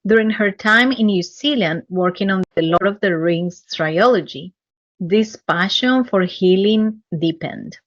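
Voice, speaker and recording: A woman hosting a podcast interview, speaking in an earnest, measured way with some hesitant pauses, in slightly dull lo-fi audio. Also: mid-range voice, dry recording. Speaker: podcast host. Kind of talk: interview, reading guest bio.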